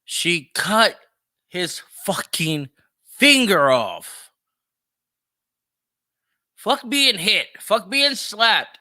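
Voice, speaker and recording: A man's voice: high voice, very animated, very slow, long pauses, pushed and bright, no echo, studio quality. A man hosting a solo opinion podcast, speaking emphatically and repeating phrases for effect. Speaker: podcast host.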